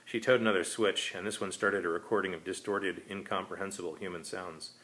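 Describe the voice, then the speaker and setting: A man's voice: low-pitched, steady tone, fairly quick, fairly bright tone. A man reading his own short story aloud as scripted narration.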